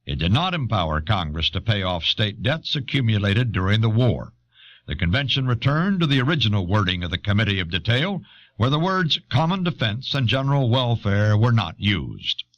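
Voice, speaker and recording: A man reading scripted historical narration in a measured, authoritative manner. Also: on the low side, sing-song, fairly bright tone. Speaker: documentary narrator. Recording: dull old audio cassette.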